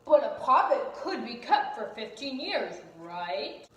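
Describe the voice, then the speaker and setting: A woman's voice: a little high, sing-song, slow, fairly bright tone, slight background noise, full character voice. A woman playing a skeptical court official who challenges a witness in an amateur puppet-show drama. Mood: challenging. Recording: very echoey room.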